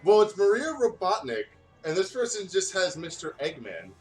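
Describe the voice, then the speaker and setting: A man's voice: high-pitched, sing-song, normal speed, faint music behind. A man reading fan fiction aloud in a casual style as a co-host of a gaming video.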